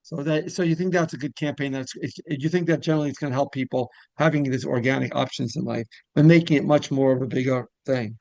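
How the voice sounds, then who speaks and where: Man interviewer with gravelly creak. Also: medium pitch, fairly even intonation, darkish tone, no echo. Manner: rambling, halting questions that restate themselves. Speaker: interviewer and show host. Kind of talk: casual interview chat.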